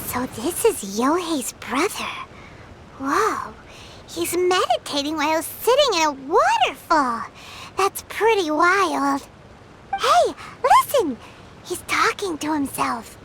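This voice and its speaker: A tiny, inquisitive fairy companion from an anime-style game. The voice is extremely high and childlike, swooping up and down with chirpy energy. There is clear noise behind it. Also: female voice, sing-song, slow, full character voice. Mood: amazed.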